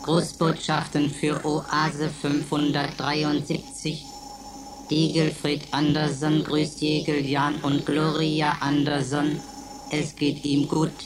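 A woman's voice: sits low, completely flat delivery, slow pace, background noise. An actress playing an emotionless female computer in a radio play, announcing in clipped, formulaic phrases. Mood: flat, procedural. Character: emotionless female computer running roll calls and quizzes.

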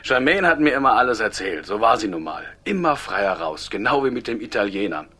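Gruff middle-aged ex husband, low n husky, blunt and wary, radio crime play. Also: male voice, big pitch swings, brisk, pushed and bright, full character voice. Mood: grumbling, candid. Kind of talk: German radio crime drama acting.

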